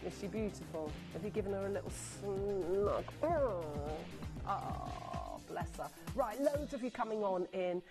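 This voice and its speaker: A woman presenting live on a TV shopping channel, chatty and excitable, rattling off buyer names and sounding a little sniffly from a cold. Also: medium pitch, a little slow, over music. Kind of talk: live on-air sales pitch. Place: TV studio.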